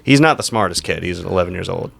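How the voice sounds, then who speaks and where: A man playing a hesitant, earnest customer on a prank call, halting and sheepish as he asks for advice. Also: deep voice, animated, creaky, a bit bright, slightly rough, pitched below the performer's own voice, exaggerated voice. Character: hesitant, earnest uncle asking a store clerk for advice.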